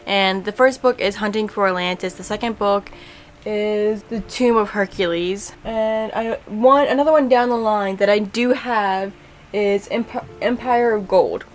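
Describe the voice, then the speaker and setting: A young woman in a casual book vlog, talking in a chatty, relaxed way with many fillers, recorded at home by a camera at desk distance. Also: mid-range voice, measured pace. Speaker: book vlogger. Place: home room in front of bookshelves.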